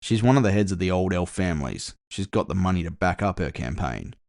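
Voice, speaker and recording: A man playing a frank, worldly male friend with a low-key, sardonic, matter-of-fact manner in cyberpunk audio fiction. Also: lively intonation, a little fast, creaky, a little mellow, no room sound, studio quality. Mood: grave, wary.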